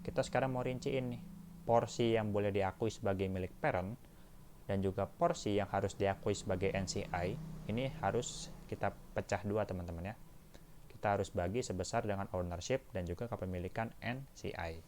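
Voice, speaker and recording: An Indonesian man with a fairly low voice teaches in an informal, step-by-step way. He keeps checking in with his listeners as he explains. There is faint noise and room ambience behind him. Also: fairly quick, a little mellow. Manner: addresses listeners as friends, frequent checking tags. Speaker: accounting lab instructor. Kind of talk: tutorial walkthrough, informal teaching.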